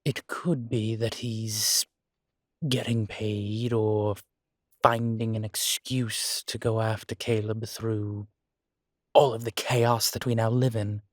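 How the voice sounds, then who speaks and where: A man playing a guilt-ridden, centuries-old vampire in an audio drama, speaking gravely and formally with weary, deliberate pauses. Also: on the low side, level tone, no room sound, studio quality. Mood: earnest, resolute. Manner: slow, grave, deliberate phrasing with long pauses. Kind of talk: dramatic audio roleplay acting.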